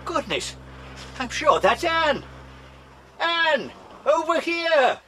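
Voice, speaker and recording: A man's voice: very high-pitched, sing-song, very slow, pushed and bright, a little hiss or hum, exaggerated voice. An actor playing a kindly, jovial man in a children's audio drama, with a hearty, exclamatory manner. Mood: urgent concern.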